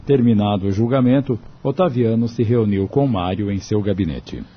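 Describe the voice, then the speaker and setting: An actor narrating a Brazilian radio novel as a measured, solemn storyteller. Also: male voice, lowish voice, noisy background, close to an everyday voice. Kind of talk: scripted radio drama narration. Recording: dull radio audio, music behind.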